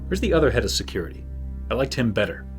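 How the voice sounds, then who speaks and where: An actor playing a disgruntled, sarcastic mercenary who supplies arena fighters in a fantasy audio drama. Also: male voice, medium pitch, very animated, fast, close to an everyday voice. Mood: irritated. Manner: irritable, sarcastic. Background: music.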